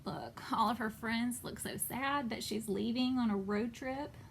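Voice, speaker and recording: A woman hosting a children's storytime, reading a picture book expressively and pausing to ask simple questions. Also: a little high, gravelly, a little hiss or hum. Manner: expressive, asks simple questions, pauses to point things out. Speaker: children's storytime host and reader.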